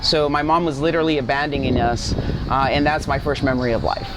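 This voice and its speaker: A man's voice: fairly high pitch, steady tone, quick pace, music in the background, low-quality recording. A forty-year-old man from Guatemala telling a personal story earnestly as an interview guest, often checking for agreement. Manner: earnest, seeks agreement with tag questions. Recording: outdoor traffic noise. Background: street traffic and vehicles.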